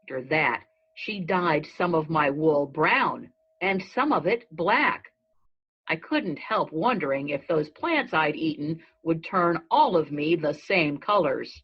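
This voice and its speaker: A woman reading a children's story aloud in measured, deliberate phrasing. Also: low-pitched, expressive, breathy edge. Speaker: storybook reader. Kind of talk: read-aloud narration of a children's story in a goat's first person. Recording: dull lo-fi recording.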